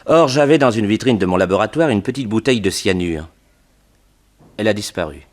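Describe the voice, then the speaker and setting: Man as a low-voiced, courteous doctor. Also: lively intonation, fairly quick, lightly acted. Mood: measured, concerned. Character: measured, courteous bachelor doctor and researcher. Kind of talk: 1960s French radio detective drama acting.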